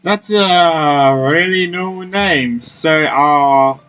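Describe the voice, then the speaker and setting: A casual let's player commenting on a game. The delivery is unhurried, informal and offhand. Faint game music runs behind the voice. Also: mid-range voice, fairly bright tone, dull audio. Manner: laid-back, offhand, informal.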